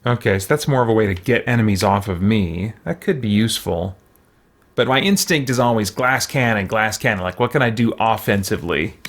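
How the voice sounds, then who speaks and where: A man's voice: on the low side, expressive, brisk. A man giving casual game commentary, thinking aloud to himself in a musing, tentative way. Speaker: gamer hosting a let's play.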